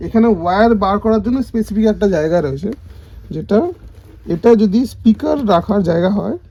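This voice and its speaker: A man with a soft-edged, mellow voice and an Indian Bengali accent explains a practical job. His delivery is that of a casual demonstrator working through steps by hand. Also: sits high, lively intonation, normal speed, dull audio. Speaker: installer demonstrating. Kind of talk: casual hands-on tutorial.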